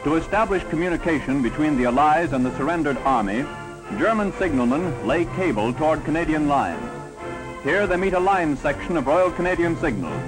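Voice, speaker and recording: Declamatory 1940s newsreel narrator, higher-pitched man. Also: animated, fairly quick, low-quality recording. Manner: declamatory, dramatic, triumphal. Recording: vintage 1940s newsreel soundtrack. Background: newsreel music.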